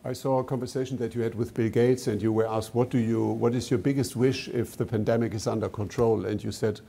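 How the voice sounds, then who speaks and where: Gravelly man asking questions. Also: mid-range voice, steady tone, fairly quick, dark tone. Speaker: interviewer. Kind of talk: stage interview. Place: large indoor stage.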